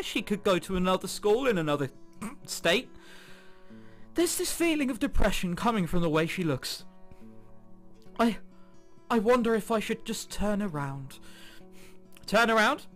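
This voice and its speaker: A man's voice: sits high, a bit bright. A man giving casual, jokey gaming commentary with sarcastic asides, switching between reading game text aloud and his own remarks. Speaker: let's player. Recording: music behind the voice. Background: game background music.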